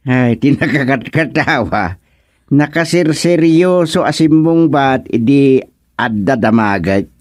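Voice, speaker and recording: Easygoing, slow-talking amused man. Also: mid-range voice, lively intonation, dry recording, studio quality. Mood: teasing. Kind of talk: Ilocano radio comedy drama acting.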